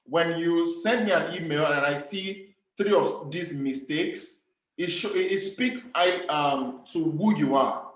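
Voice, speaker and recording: A man's voice: on the high side, unhurried, reverberant, rough recording. Earnest Nigerian man giving advice. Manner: earnest, emphatic, repeats key phrases. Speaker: speaker on communication skills. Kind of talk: motivational talk to young people.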